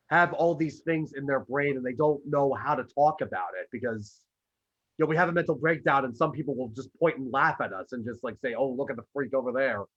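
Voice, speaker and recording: A man's voice: a little high, slightly rough, muffled recording. A man talking earnestly and conversationally in a panel discussion, as an actor. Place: virtual video call.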